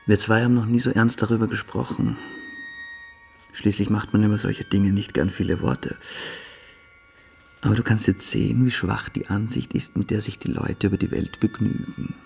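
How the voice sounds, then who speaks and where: A man's voice: fairly low pitch, fairly even intonation, normal speed, vocal fry, darkish tone, husky, faint music behind. An actor playing a scornful, dogmatic older schoolboy who lectures his friend condescendingly in a German literary radio drama. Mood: bitter contempt.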